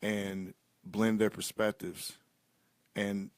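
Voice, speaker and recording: A man hosting a casual interview, speaking sincerely and a little haltingly, often repeating his phrases. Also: on the low side, flat delivery, no room sound. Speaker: talk show host.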